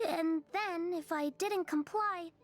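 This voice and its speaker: A frail young girl from a video game, speaking in a very high, small voice. The manner is hesitant, polite and vulnerable, with faint music underneath. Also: slow. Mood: subdued, earnest. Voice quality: small, childlike. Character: frail, timid young girl, brave survivor of captivity.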